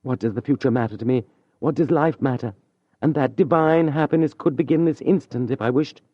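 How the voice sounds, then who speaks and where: A man's voice: medium pitch, brisk, no room sound, pitched above the performer's own voice, natural voice. A man acting out a proud young schemer torn between pride and love. His speech is intense and declamatory, sometimes coolly controlled. The recording is dull and dry. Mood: rapturous. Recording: dull dry studio audio.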